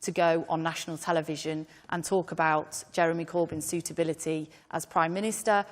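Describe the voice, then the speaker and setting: Lowish woman on a debate panel, firm, echoey hall. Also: fairly even intonation, a little fast. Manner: firm, corrective. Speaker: panelist, politician. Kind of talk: televised debate. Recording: studio hall reverb.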